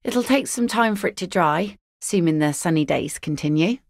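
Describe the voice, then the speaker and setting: A woman's voice: fairly low pitch, lively intonation, moderate pace, no echo. An actress voicing a blunt, weathered fisherwoman who picks nets, speaking game voice lines in a dry, sardonic way. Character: tough weathered fisherwoman net picker, blunt. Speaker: game character.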